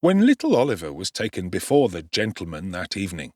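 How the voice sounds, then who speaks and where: A man narrating a classic novel with expressive, theatrical delivery. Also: low-pitched, brisk, no echo, clean studio sound. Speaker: audiobook narrator. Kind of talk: dramatic audiobook narration.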